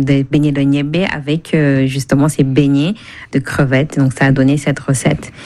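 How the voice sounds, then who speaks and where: Low-voiced woman from Senegal. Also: darkish tone. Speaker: guest, cookbook author and food blogger. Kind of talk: conversational radio interview.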